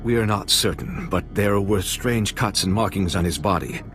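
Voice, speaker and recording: An actor playing a formal, earnest and worried ambassador in a video game. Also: male voice, sits low, animated, normal speed, over music. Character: troubled, formal male ambassador. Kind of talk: video game character dialogue.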